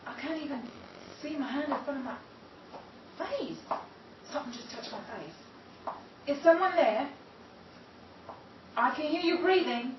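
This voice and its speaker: A fearful young woman, played by a woman, whispers and gasps through a dark room in an old-time radio play. Her voice sits high and hushed. The recording is dull and distant, with a roomy echo and steady hiss behind her. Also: a little slow, long pauses. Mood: terrified. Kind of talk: 1930s-style radio drama acting.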